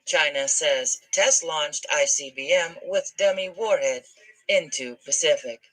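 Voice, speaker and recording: A woman calmly reading news headlines in a soft voice. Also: sits low, unhurried, rough recording. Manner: calm, soft delivery. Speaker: news reader.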